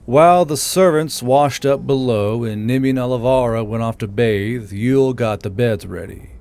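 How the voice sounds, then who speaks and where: A man's voice: medium pitch, normal speed, gravelly, darkish tone. A man narrating a fantasy audiobook as the channel's host, in an informal storytelling style. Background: music.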